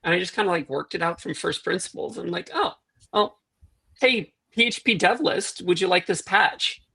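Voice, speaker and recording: A woman guest in a casual interview, rambling with quick self-deprecating asides. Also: fairly low pitch, creaky, sharp tone, slightly rough. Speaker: guest, programming language developer.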